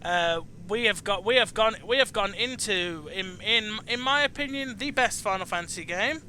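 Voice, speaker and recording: High-pitched, bright British man, halting. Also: a little hiss or hum. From United Kingdom. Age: 20s. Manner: halting, often repeats words. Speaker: podcast host. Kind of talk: casual online interview chat.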